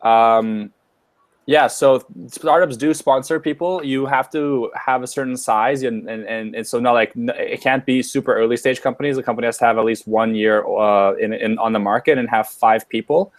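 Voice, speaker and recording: A man's voice: medium pitch, steady tone, normal speed, no echo. A man hosting a live webinar, chatting casually with many fillers and restarts. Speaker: webinar host. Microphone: webcam or headset on a video call.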